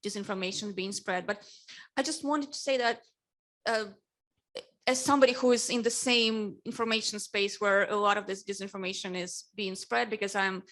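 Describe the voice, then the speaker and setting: A woman's voice: mid-range voice, steady tone, normal speed, a bit bright. Ukrainian woman, Russian native speaker, panelist; hesitant delivery, frequent restarts. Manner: hesitant, many fillers and restarts. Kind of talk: panel discussion.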